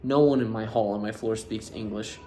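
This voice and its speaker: An American man in casual storytelling, animated and laughing as he acts out what people said. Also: on the low side, a little fast, faint music behind. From United States. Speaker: vlogger.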